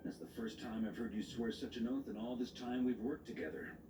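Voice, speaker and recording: An actor playing a stern knight grandmaster in a video game, measured and authoritative with a dry wit. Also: male voice, medium pitch, steady tone, roomy sound, recorded from a distance, noisy background, natural voice. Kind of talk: dramatic video game voice acting.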